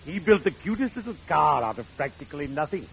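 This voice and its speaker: An actor playing a morbidly genial undertaker in an old radio comedy, solemn, formal and punning. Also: male voice, a little high, big pitch swings, vocal fry. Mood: droll. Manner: formal, grandly deliberate, punning. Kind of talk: 1940s radio comedy acting. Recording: dull, noisy old radio recording.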